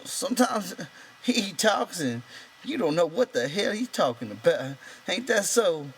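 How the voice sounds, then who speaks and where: A man's voice: high voice, sing-song, a little slow, slight background noise, voice pushed up, exaggerated voice. A man voicing a proud, bitter, lonely stable hand in an audiobook, talking in an insistent, rambling colloquial way. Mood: probing. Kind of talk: character voice in an audiobook reading.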